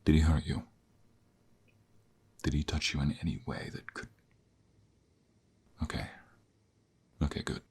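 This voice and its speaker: A man voicing a desperate, protective young mobster in an ASMR romance roleplay, intense and emotional, with a husky, breathy, gravelly low voice that often drops to whispers. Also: animated, unhurried, long pauses, darkish tone, exaggerated voice. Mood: hushed, urgent.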